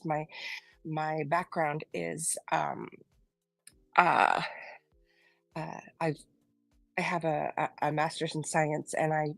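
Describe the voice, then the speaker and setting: A woman from the Philippines, a kindergarten teacher whose first language is not English, speaking in an online panel with halting, earnest remarks. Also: sits low, gravelly, dry recording, rough recording. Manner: halting, searching for words, earnest.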